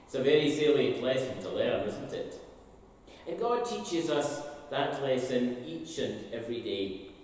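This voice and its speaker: An older man, a minister, gives an expressive, slowly told all-age talk from the pulpit of a large church sanctuary. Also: mid-range voice, slight background noise. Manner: storytelling, slow and expressive. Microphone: far camera, pulpit mic. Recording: echoey church hall from a distance.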